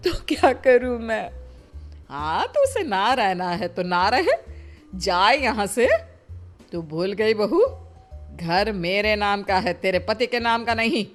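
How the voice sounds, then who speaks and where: A woman's voice: medium pitch, very animated, takes it slowly, soft background music. A woman voicing a feisty, protective elderly mother-in-law in a cartoon story, blunt and scolding. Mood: indignant.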